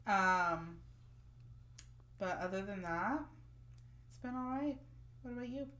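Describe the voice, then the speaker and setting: A congested woman with a head cold co-hosts a book podcast, speaking very slowly. Her voice is bright and a bit sharp. She drags out her words and fills pauses with ums. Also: mid-range voice, animated, a little hiss or hum. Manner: slow, drawn-out delivery with frequent ums. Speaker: podcast co-host. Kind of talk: casual chat and reading a book blurb.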